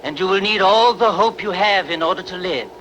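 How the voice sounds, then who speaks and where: A man's voice: sits high, fairly quick, a bit bright. A man playing an earnest, solemn soothsayer who speaks insistently in a film dub. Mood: alarmed pleading. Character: earnest male soothsayer pleading before a queen. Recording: clearly noisy background.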